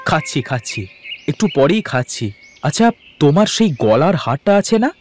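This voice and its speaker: A man's voice: fairly high pitch, big pitch swings, normal speed. Man acting proud, aggrieved poor farmer over music. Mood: resigned. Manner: indignant, emphatic, repeats phrases. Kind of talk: animated cartoon story dialogue. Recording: music behind the voice.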